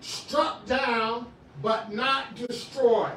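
A middle-aged man preaching a sermon in a small church, swinging from shouts to quiet talk with many restarts. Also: extremely high pitch, fairly even intonation, extremely slow, a little hiss or hum. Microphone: far camera. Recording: echoey room, far from the camera.

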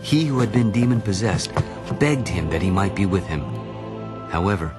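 A man narrating a dramatized audio Bible in a solemn, deliberate storytelling manner. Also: deep voice, expressive, loud music behind the voice.

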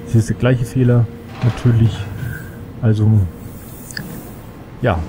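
A man with a cold talks loosely through a game, thinking aloud and rambling between thoughts. His voice sits in a middle pitch. A clear hum runs under the home recording. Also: little pitch movement, slow pace. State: has a cold. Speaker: let's play gamer. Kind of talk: casual gameplay commentary.

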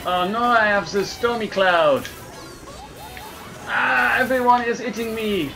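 A man's voice: high-pitched, slow pace, creaky, music in the background, low-quality recording. Hammy man in a fake French accent. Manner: excitable, hammy, exclaiming and laughing at his own jokes. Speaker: let's play gamer. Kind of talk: comic gameplay commentary in a put-on accent.

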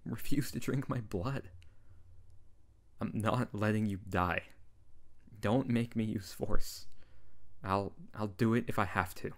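Casting: man to play scolding but caring young wizard best friend, fairly low voice, close ASMR roleplay delivery. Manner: exasperated, bossy, caring, with sighs. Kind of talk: intimate ASMR audio roleplay addressed to the listener.

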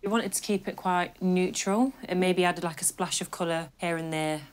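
A woman homeowner speaking in a casual interview, soft and a little hesitant. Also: fairly low pitch, steady tone, moderate pace, clean tone.

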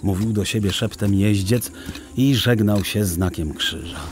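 A man narrating a literary story for an audio drama in a measured, expressive and solemn way. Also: lowish voice.

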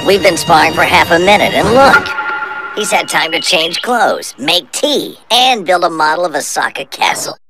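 A woman's voice: lowish voice, very animated, moderate pace. A woman voicing a stern, serious, no-nonsense man who instructs in combat, in an amateur anime dub. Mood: stern, exasperated. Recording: music behind the voice.

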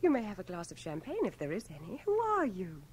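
An actress playing a breezy, teasing wartime nurse in a radio drama, with a playful, lilting manner. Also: female voice, mid-range voice, huge pitch swings, fast talker, darkish tone, husky. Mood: breezy, welcoming.

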